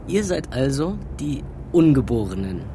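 A cocky young prisoner in a radio drama. His creaky voice swings in pitch, mocking and defiant, with clear background noise. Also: sing-song, moderate pace. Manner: mocking, colloquial. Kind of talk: German radio drama acting.

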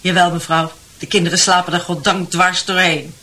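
Bright, outspoken gossipy housemaid. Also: female voice, fairly low pitch, little pitch movement, moderate pace. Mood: relieved. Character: outspoken, gossipy housemaid, respectful but nosy. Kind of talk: Dutch radio drama acting.

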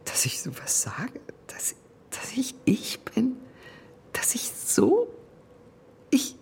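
An actress in a radio drama playing a wealthy, wounded, vengeful middle-aged woman, intense and halting, with a creaky voice. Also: on the high side, huge pitch swings, darkish tone, full character voice. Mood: choked, shattered. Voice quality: creaky voice, often breaking into whispers.

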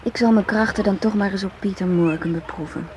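A woman's voice: mid-range voice, fairly even intonation, brisk, dark tone, natural voice. An actress playing a cool, self-assured, seductive female agent in a radio play, knowing and challenging in her talk. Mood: probing, confident. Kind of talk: radio drama acting. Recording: hissy old radio play recording.

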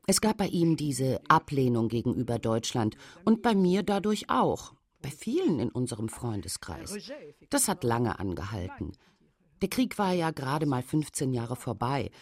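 A woman's voice: rumbling low, very animated, moderate pace. A woman reading a voice-over translation of an interviewee's personal memories.

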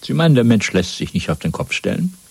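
A man playing a cold, fanatical Party interrogator in a radio drama, speaking in a measured, domineering, rhetorical way. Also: fairly low pitch, very animated, creaky, slightly rough, no echo, exaggerated voice, low-quality recording. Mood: probing, scornful. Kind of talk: German radio drama acting.

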